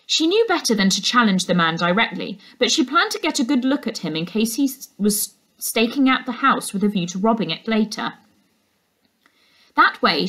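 A young woman reading a children's novel aloud as a teacher, in an expressive storytelling style. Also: mid-range voice. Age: young adult. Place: furnished indoor room.

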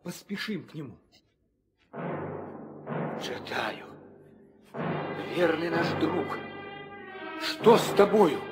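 An actor playing a noble, anguished warrior hero in a 1960s audio drama. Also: male voice, a little high, expressive, drawn-out words, pauses often, loud music behind the voice. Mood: concerned. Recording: long reverb, old studio recording.

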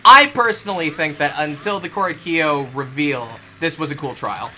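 A man's voice: a little high, expressive, normal speed, bright, forceful tone. A young man chatting casually about a video game as a show co-host, giving his opinions emphatically. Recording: music behind the voice. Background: video game music.

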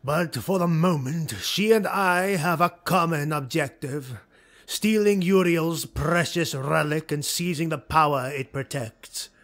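A man narrating an audiobook, voicing a ruthless, driven male dark elf lord in an urgent, commanding, clipped way. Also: fairly high pitch, unhurried, pitched above the performer's own voice. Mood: cold.

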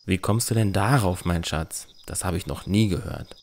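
A man's voice: sits low, normal speed, darkish tone. A man playing a loving, credulous village father in a fantasy audio drama. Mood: puzzled.

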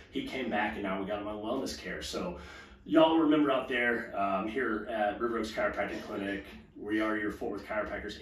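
A man, a chiropractor talking casually and joking while treating a patient in a small clinic room. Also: on the low side. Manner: easygoing, joking, explains while working. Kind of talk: casual clinic video talk. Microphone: camera mic from across the room. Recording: roomy, distant camera sound, faint noise.

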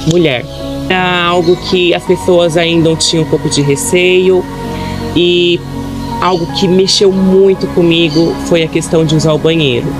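A woman in her twenties giving a soft, reflective personal testimony outdoors by a lake, pausing often. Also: deep voice. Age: 20s. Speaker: interviewee. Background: background music.

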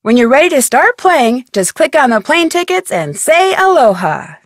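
A young woman detective in a video game. She sounds practical and friendly, with a slightly high voice and measured delivery, recorded close and dry. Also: very animated, no echo. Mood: friendly, instructive. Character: capable, matter-of-fact young female detective, game heroine. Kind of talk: video game voice acting, scripted instructions and remarks.

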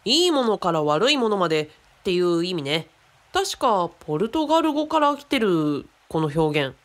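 A woman's voice: lowish voice, very animated, moderate pace. A woman playing a friendly, knowledgeable adult companion in a radio drama, explaining casually and thinking aloud. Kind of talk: conversational radio drama acting.

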